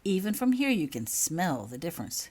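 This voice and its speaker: A woman's voice: lowish voice, big pitch swings, moderate pace, darkish tone. Woman reading as a serene, pedantic schoolgirl. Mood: serene, informative. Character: serene, pedantic teenage schoolgirl, slyly mocking.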